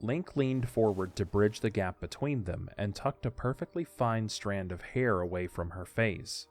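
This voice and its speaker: A man's voice: fairly low pitch, unhurried, faint music behind. A man narrating a scripted audio drama as its storyteller.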